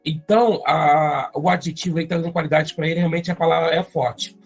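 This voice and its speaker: A teacher with a Brazilian Portuguese accent, going step by step through an exam-prep grammar lesson and often adding quick check-in tags. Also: medium pitch, steady tone, breathy edge, faint music behind. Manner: explains step by step, often adding tag questions.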